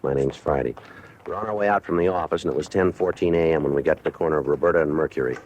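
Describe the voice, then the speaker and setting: An American police detective in a vintage radio drama, with an extremely deep voice. He is clipped, deadpan and all business, over a faintly noisy old recording. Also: very fast. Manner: clipped, deadpan, matter-of-fact. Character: terse, businesslike male police detective, narrating his case. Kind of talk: 1950s radio crime drama acting and narration.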